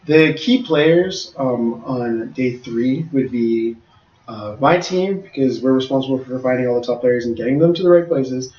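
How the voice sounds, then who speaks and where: A man's voice: medium pitch, normal speed, a little mellow. A man organizing tournaments, talking through a casual podcast recap in a rambling way with many restarts. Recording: roomy, distant, dull mic.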